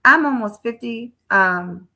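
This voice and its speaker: A woman's voice: fairly high pitch, lively intonation, slow, vocal fry, pushed and bright. A woman in her forties hosting a live stream, chatting casually with frequent restarts and repeated words. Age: 40s. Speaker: live-stream show host.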